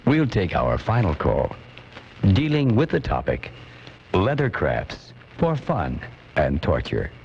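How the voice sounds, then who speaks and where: A man's voice: low-pitched, wildly swooping pitch, slow, creaky, a little mellow, a little hiss or hum, exaggerated voice. A man playing a pompous, solemn call-in announcer with formal, grandiose, mock-serious radio delivery. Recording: muffled off-air cassette, music behind.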